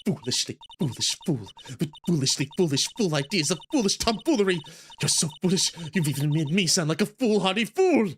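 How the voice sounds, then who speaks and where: A man's voice: high voice, animated, a little fast, fairly bright tone, slight background noise. Man doing a haughty young female prosecutor. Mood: scornful. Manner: scornful, repetitive insults. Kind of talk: video game voice reading.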